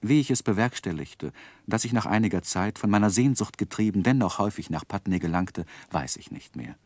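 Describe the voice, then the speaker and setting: An actor in a German radio play playing a reflective gentleman who narrates his own life in measured, literary phrases. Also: on the low side, animated, no room sound. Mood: melancholy, reflective. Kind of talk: scripted first-person narration in 1960s German radio drama.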